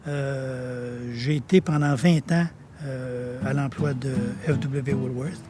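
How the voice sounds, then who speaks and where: A man's voice: medium pitch, steady tone, takes it slowly. A man, telling stories in a chatty interview with frequent fillers. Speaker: pharmacy manager. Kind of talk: casual interview answers. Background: music.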